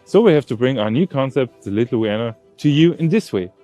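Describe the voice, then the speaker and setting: Animated male presenter with music behind. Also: mid-range voice, fairly quick. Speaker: video presenter. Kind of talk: casual on-location video presenting.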